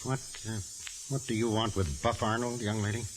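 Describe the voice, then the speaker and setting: An actor playing a suave, pompous, flirtatious film director in a 1940s radio drama, with an effusive, theatrical way of talking. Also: male voice, lowish voice, steady tone, normal speed, natural voice. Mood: flirtatious, scheming. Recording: hissy, noisy 1949 radio recording.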